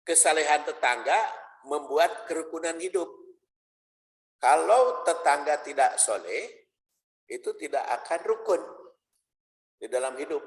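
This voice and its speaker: Man, preacher, slow deliberate sermon, reverberant hall. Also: fairly high pitch, animated. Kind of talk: dawn mosque sermon. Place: mosque hall. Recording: echoey hall with long reverb.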